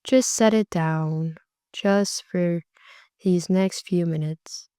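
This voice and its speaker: A woman guides a meditation in an unhurried, gentle way, letting her phrases stretch out. Her voice is mellow and warm, sitting a little low, and comes through very smooth and clear. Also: dry recording, clean studio sound. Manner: slow, soft and soothing, drawn-out phrases.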